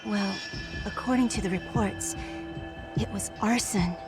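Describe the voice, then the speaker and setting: An actress playing a gentle woman who recalls an old friend's past in a conversational, wistful way for a video game cutscene. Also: mid-range voice, unhurried, lightly acted. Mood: somber, sympathetic. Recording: studio voice with music behind.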